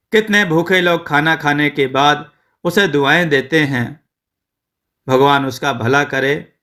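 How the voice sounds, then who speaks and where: Slow, grateful villager voiced by a man. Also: on the high side, sharp tone. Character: grateful villagers blessing a charitable innkeeper. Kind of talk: storybook character dialogue.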